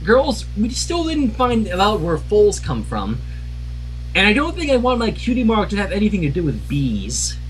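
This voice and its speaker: A man's voice: sits high, huge pitch swings, moderate pace, a little hiss or hum, low-quality recording. A man voicing eager, blunt little filly ponies with a childlike, plain-spoken manner for a fan fiction reading. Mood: insistent.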